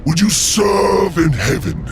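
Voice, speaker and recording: A bitter, grandiose fallen angel in a video game cutscene. The voice is heavily gravelled and very slow, with huge dramatic swells in pitch. Music plays behind the speech. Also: male voice, big pitch swings, raised voice, heavy background noise, heavily acted. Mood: grand, persuasive. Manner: theatrical, declamatory, slow dramatic pauses. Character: grandiose fallen angel turned demonic tempter, commanding and bitter.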